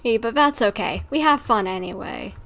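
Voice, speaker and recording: A woman's voice: on the high side, lively intonation, moderate pace, fairly bright tone, soft background music. Woman streamer chatting casually, rambling along. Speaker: live streamer. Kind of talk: casual livestream chat with viewers.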